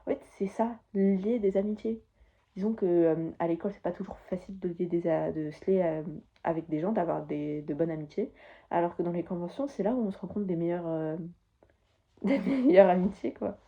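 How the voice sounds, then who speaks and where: A woman's voice: lowish voice, normal speed. Chatty young woman, dull lo-fi sound. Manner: chatty, rambling, enthusiastic. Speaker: vlogger. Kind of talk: casual chat to camera. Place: her bedroom.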